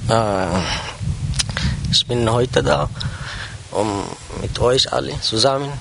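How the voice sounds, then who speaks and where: A man whose first language is Arabic, speaking to his church congregation in a halting personal testimony, with many pauses and fillers. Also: medium pitch, vocal fry, heavy background noise. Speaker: church member addressing the congregation. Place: church service.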